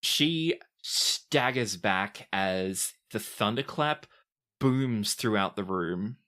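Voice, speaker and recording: A game master with a very low voice and a slow pace. The pitch moves a lot while giving vivid, dramatic scene narration, then drops into casual rulings for the players. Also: female voice, lively intonation, a bit bright. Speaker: game master of a tabletop RPG podcast.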